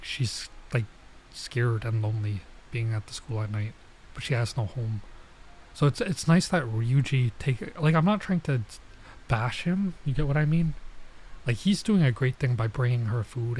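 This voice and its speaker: A man's voice: medium pitch, lively intonation, moderate pace, darkish tone, rough recording. A young man casually commenting while playing a visual novel, chatty and laid-back, peppering his talk with "like" and "bro". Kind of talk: casual let's-play commentary.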